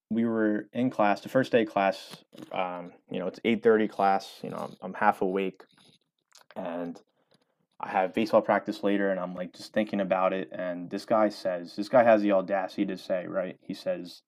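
A man's voice: deep voice, darkish tone. A young man in his twenties answering interview questions casually, rambling with many fillers and restarts. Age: 20s. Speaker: author and former college baseball player.

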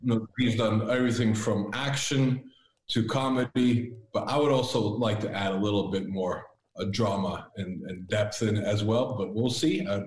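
A man who grew up speaking Latvian, a podcast guest talking in an earnest, reflective way with halting restarts. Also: lowish voice. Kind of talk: casual interview chat. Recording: roomy echo.

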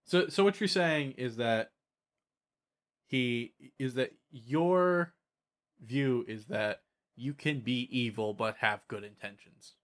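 A man's voice: medium pitch, slow pace. A man hosting a casual tabletop gaming podcast, explaining his ideas and checking that others follow. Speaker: podcast host. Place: online voice call, recorded at own microphone.

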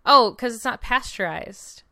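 A woman's voice: fairly high pitch, lively intonation, a little slow, pushed and bright, no room sound, clean studio sound. A woman in casual, informal conversation, with a wry and playful manner. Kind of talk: casual chat.

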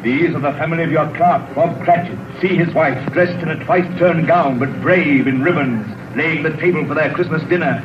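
An actor playing a jolly, commanding giant ghost, declaiming in a stately 1930s radio drama style. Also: male voice, on the high side, moderate pace, hardly any pauses, natural voice. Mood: solemn showing. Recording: muffled noisy 1930s radio recording.

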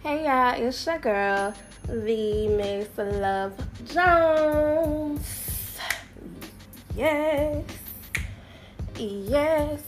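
A woman's voice: high voice, extremely slow, long pauses, music in the background. A young woman hosting her podcast alone, speaking in a nasal voice while sick with a summer cold, chatty and playful with self-deprecating, slangy asides. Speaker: podcast host. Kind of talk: casual solo podcast chat.

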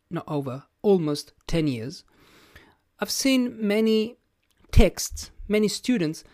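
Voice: medium pitch, no echo, clean studio sound. An English teacher whose first language is Italian, speaking with an Italian accent in a plain-spoken, reflective podcast lesson, thinking aloud as they go.

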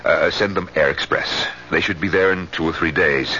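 An actor playing an eager but uneasy middle-aged husband in a radio drama. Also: male voice, low voice, moderate pace, bright, forceful tone. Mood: cheerfully eager. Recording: muffled, noisy 1970s radio recording.

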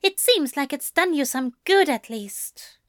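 A woman's voice: very high-pitched, big pitch swings, unhurried, fairly bright tone, no room sound, clean studio sound, voice pushed up, full character voice. A woman voicing a prim, wounded male angel with a huffy, frosty manner in an audiobook story. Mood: huffy, sniffing. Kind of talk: fan fiction audiobook character voice.

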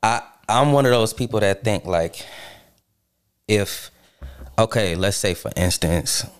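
A man from Charlotte, born in New York, talking as a podcast guest in an earnest, deliberate way. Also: lowish voice, clean tone. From Charlotte, North Carolina, born in New York. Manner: earnest, deliberate, checks in with "right?". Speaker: guest musician. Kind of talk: casual conversation.

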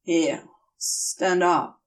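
A woman's voice: on the low side, steady tone, extremely slow, distant mic. A woman voicing a guarded, strained male demon who coaxes in clipped phrases as a caretaker in an audio story. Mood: coaxing.

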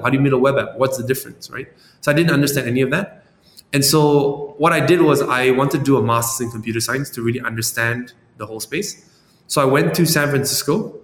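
A man from Malaysia in his thirties, a startup founder answering interview questions thoughtfully, with many fillers and tag questions. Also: a bit bright. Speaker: startup founder and CEO guest. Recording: echoey room with long reverb, faint rumble.

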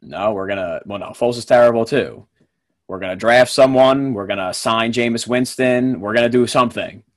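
A young man hosting a casual sports podcast, relaxed and quick to laugh, with plenty of fillers. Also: medium pitch, dry recording, studio quality. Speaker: podcast host.

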